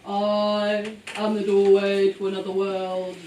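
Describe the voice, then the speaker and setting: A woman's voice: mid-range voice, completely flat delivery, slow, dark tone, lightly acted. A woman voicing a haughty, ominous old mushroom wizard in a theatrical puppet show, with a grandiose, condescending delivery. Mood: ominous, mysterious. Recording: roomy, distant live bootleg, faint noise.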